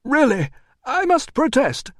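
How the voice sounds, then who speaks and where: A man's voice: sing-song, a little slow, no room sound, clean studio sound, full character voice. A man voicing a fussy, cowardly, pompous Roman administrator in an audiobook, officious and indignant in a strained voice. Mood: flustered protest. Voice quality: strained, high-pitched. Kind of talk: audiobook character voice.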